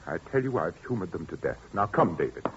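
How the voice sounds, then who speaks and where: A man's voice: low-pitched, sing-song, fairly quick. An overwrought widowed father in an old radio drama, his voice swinging widely as he coaxes and then pleads. The sound is dull and lo-fi with a faint hiss behind. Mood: coaxing. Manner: coaxing then anguished, voice climbing. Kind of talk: vintage radio drama acting.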